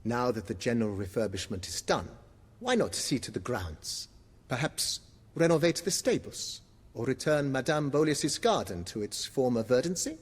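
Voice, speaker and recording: A man playing a deferential, fussy butler in a video game, with a breathy, husky voice and formal, florid, obsequious speech. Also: medium pitch, very animated, normal speed, in a large hall. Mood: eager, deferential. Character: deferential, fussy, eloquent male butler of an estate.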